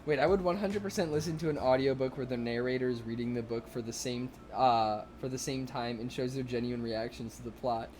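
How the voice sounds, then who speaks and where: A young man streaming, chatting casually and excitably with viewers, rambling with lots of fillers. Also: medium pitch, a little fast, hardly any pauses. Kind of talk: casual chat reading viewer comments.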